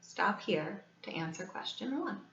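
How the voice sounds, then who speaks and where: A woman's voice: mid-range voice, sing-song, measured pace, gravelly, a bit bright, slight background noise. Woman teacher in a roomy space. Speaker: teacher reading aloud. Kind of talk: brief spoken lesson instructions. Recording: slightly dull, roomy sound.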